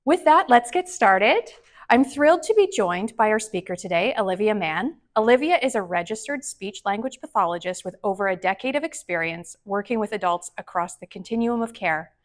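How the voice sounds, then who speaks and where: A woman's voice: mid-range voice, animated, normal speed. A woman moderating a conference session, reading an upbeat, formal introduction with slight stumbles. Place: conference breakout room.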